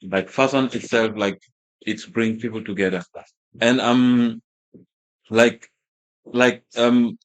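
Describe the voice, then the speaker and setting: A man's voice: medium pitch, steady tone, slow pace, pauses often, low-quality recording. A man from Gambia whose first language is Wolof. He is a fashion brand founder talking as a guest in a casual interview, with many fillers and restarts.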